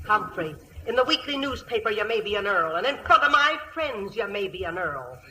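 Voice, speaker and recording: A woman's voice: mid-range voice, big pitch swings, a little fast, bright, forceful tone, rough recording. An actress playing a bossy, exasperated frontier mother with a rural Western accent, scolding in old-time radio comedy style. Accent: rural American West. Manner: scolding, commanding. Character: bossy, exasperated frontier matriarch ordering her butler around.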